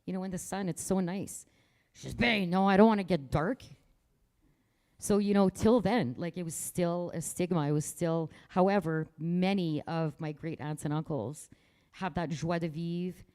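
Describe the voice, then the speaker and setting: A woman's voice: fairly low pitch, moderate pace, a little mellow. A woman artist from rural Manitoba talking casually about her work in an interview, outspoken and proud, with frequent restarts. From rural Manitoba, Canada.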